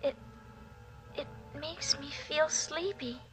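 A young schoolgirl in 1940s radio drama. The voice is high and piercingly clear in tone, with childlike, precise delivery. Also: takes it slowly, fairly bright tone, faint music behind, poor audio. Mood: uneasy. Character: young schoolgirl, timid, later eerily prim. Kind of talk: 1940s radio suspense acting.

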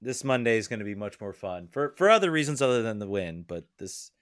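Lowish guy on a fan podcast, rambly, laughs a lot, dead dry sound. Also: big pitch swings, fairly quick, fairly bright tone, dry recording. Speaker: guest podcaster. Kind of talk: casual podcast chat.

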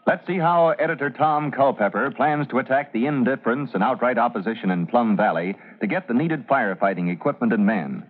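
A man's voice: medium pitch, expressive, fairly quick, hardly any pauses, natural voice. An American man performing as the stirring, emphatic announcer of a firefighter adventure serial on old-time radio. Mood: dramatic, urgent. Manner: theatrical, emphatic. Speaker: announcer and narrator. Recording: muffled vintage radio recording.

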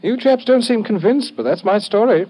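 A man's voice: high voice, animated, moderate pace, warm tone, husky, low-quality recording. An actor playing a defensive upper-class young British man in an old radio detective drama.

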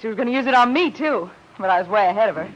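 An actress playing a tough, sharp-tongued woman in a 1940s radio detective drama. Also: medium pitch, lively intonation, very fast, close to an everyday voice. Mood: brisk, proud. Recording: dull muffled vintage radio recording.

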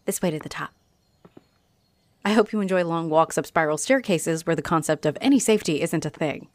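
A woman's voice: low voice, animated, brisk, no room sound. A woman playing a friendly, teasing, flirty female halfling orc adventurer in a roleplay audio addressed to the listener. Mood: eager, friendly. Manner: teasing, chuckling, coy. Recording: noticeable noise behind the voice.

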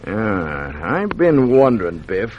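An actor playing a rough, eager outlaw henchman in an old radio western. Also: fairly low pitch, big pitch swings, slow. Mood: curious, alert. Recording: muffled, hissy old radio recording.

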